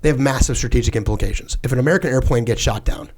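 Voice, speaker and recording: A man's voice: medium pitch, very fast, darkish tone, slightly rough. Casting note: former fighter pilot guest, middle-aged man, mid-range voice, earnest and chatty, leans on fillers. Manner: earnest, with frequent "like" fillers and restarts. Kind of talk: casual conversational interview.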